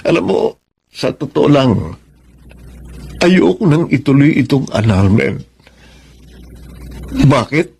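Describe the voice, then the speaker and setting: A man with a Filipino accent playing a heartbroken, remorseful ex-husband in a radio drama, speaking haltingly and emotionally. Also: medium pitch, lively intonation, gravelly, darkish tone, husky, exaggerated voice. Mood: anguished regret. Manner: halting, stammering, emotional delivery. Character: heartbroken, remorseful ex-husband confessing lost love. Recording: music under the voice.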